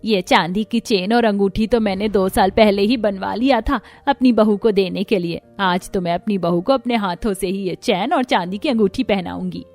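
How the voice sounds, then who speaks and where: A woman plays a middle-aged mother-in-law in an animated family tale. She is homely, doting and eager, fussing in a medium-pitched voice. Light music runs underneath. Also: normal speed, few pauses, natural voice. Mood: fond. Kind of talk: Hindi cartoon story voice acting.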